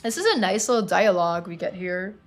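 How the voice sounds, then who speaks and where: A woman's voice: mid-range voice, sing-song, a little fast. Casual woman commenting over game music. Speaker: streamer doing a let's play.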